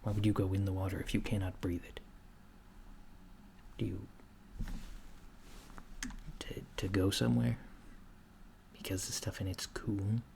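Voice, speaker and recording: A man doing a soft-spoken ASMR roleplay as a curious, puzzled alien interviewer, speaking in a deliberate, halting, deadpan way. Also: deep voice. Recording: clear background noise, keyboard typing. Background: computer keyboard typing.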